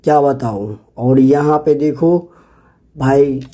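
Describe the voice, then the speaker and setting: A man's voice: medium pitch, takes it slowly, warm tone. A man with an Indian accent giving casual, chatty gameplay commentary as a video host, often addressing and repeating himself to viewers. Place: voice-over on a screen recording.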